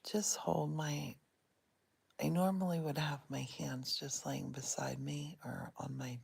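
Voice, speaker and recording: A middle-aged woman talking softly and unhurriedly from her bed, recorded on a phone she holds in one hand. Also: low voice, steady tone, creaky, husky. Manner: soft and whispery, unhurried. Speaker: vlogger. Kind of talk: casual guided meditation vlog. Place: in bed at home. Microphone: phone held in one hand.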